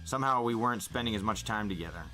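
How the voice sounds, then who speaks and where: Bright, low-voiced man reading a story. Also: rapid-fire, soft background music. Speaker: podcast host reading a novel aloud. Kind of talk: first-person audiobook-style narration with acted dialogue.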